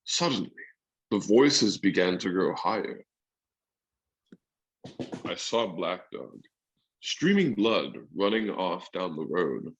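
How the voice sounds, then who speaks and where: Slow, low-voiced male drama narrator. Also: very animated, breathy edge, dull audio. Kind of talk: scripted storybook narration for radio drama.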